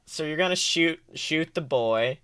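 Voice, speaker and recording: A man with a bright, fairly high voice hosts a tabletop game as game master. He sounds clear and narrates casually, with a teasing, jokey manner. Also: unhurried. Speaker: game master, podcast host.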